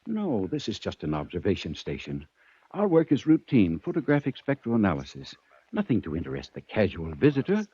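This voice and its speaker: An actor playing a frail, dignified old professor in a 1940s radio drama, speaking hesitantly and earnestly. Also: male voice, on the low side, wildly swooping pitch, fairly quick, dark tone, husky, no room sound, heavily acted. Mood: resigned, earnest. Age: older. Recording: muffled old radio recording.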